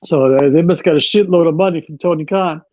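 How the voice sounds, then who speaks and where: A man's voice: a little high, fast, darkish tone, muffled recording. A man co-hosting a casual wrestling podcast, rambling and sarcastic, with frequent restarts. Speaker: podcast co-host.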